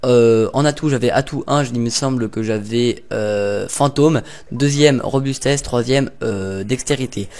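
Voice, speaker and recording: A man's voice: mid-range voice, measured pace, a little mellow. A young man casually commenting over gameplay, rambling and hesitant with lots of fillers and little jokes. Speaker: gaming YouTuber.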